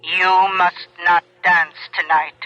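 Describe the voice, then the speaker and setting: Anonymous male warner, urgent and grave, piercingly bright, vintage radio drama on lo-fi recording. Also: high-pitched, level tone, slow, exaggerated voice. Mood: urgent warning. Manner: insistent, ominous. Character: mysterious, urgent anonymous male caller giving grave warnings. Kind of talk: 1970s radio drama acting. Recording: thin, lo-fi old radio recording.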